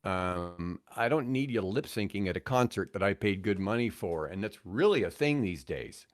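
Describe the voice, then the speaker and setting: A man's voice: sits low, lively intonation, normal speed. American man in his sixties, chatting casually. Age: 60s. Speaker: podcast guest, radio host. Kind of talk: casual chat, rambling storytelling.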